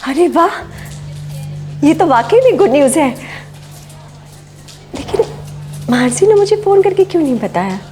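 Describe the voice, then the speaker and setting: A woman's voice: high voice, big pitch swings, unhurried, pauses often, darkish tone. An actress playing a caring, reassuring mother of a grown son in a TV crime drama. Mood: pleased, inquiring. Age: middle-aged or older. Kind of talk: Hindi TV crime serial acting. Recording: music in the background.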